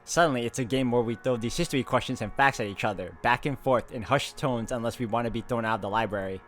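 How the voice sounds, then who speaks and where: Male game player, informal read-along narration with chatty asides, average pitch, light background music. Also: fast, fairly bright tone. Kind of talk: reading game narration aloud with casual commentary.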